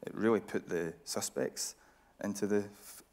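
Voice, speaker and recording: A man hosting a journalistic interview on stage, deliberate and pausing mid-phrase to stress his points. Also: lowish voice, fairly even intonation, darkish tone. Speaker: interviewer and host. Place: radio theatre stage.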